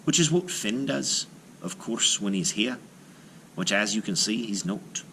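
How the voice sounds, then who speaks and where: A man reading a folktale aloud to children as an expressive storyteller. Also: on the low side, unhurried, a little hiss or hum.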